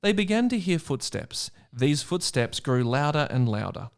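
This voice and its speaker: A man bantering casually and reading a story aloud as a podcast guest, teasing in a deadpan, ironic way. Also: on the high side, moderate pace, gravelly, clean tone. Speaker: guest reader on a comedy podcast.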